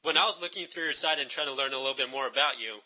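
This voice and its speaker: A man's voice: medium pitch, rapid-fire, sharp tone, dull audio. A man hosting an interview, asking easygoing, chatty questions. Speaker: interviewer, host. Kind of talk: friendly interview.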